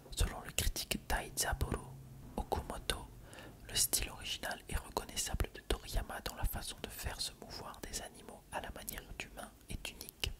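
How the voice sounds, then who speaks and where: ASMR reader, fully whispered and breathy, for read-aloud factual text. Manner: reading aloud. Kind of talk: whispered ASMR reading of an encyclopedia article.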